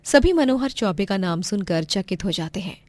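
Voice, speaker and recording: A woman's voice: medium pitch, animated, normal speed, a little mellow, no echo. A woman narrating a scripted moral story as a storyteller.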